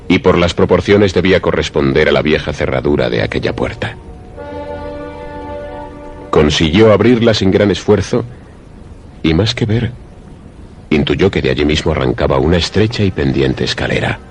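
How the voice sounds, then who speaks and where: Very deep-voiced man narrating suspense. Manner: measured, literary storytelling. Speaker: narrator of a horror radio play. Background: music under the voice.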